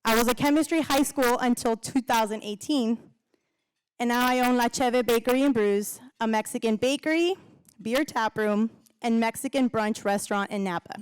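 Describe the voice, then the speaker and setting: A woman's voice: a little high, normal speed, clear, resonant tone, dry recording. A woman in her thirties speaking on stage into a handheld microphone at a gala, heartfelt and personal, with a faint audience behind her. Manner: heartfelt, laughs between lines. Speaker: co-emcee and alum speaker. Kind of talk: speech at a gala. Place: large event hall, on stage.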